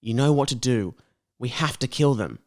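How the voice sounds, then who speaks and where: A man's voice: mid-range voice, lively intonation, normal speed, a little mellow. A man hosting a comedy podcast, giggly and playful, chatting casually and reading a story aloud. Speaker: podcast host reading his own fan fiction.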